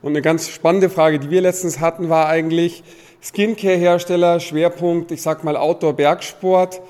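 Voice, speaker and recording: A man's voice: fairly high pitch, steady tone, normal speed, a little mellow, long reverb tail. A man from Munich asking a panel a question in a chatty, loose way and correcting himself. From Munich, Germany. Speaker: audience questioner. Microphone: handheld mic.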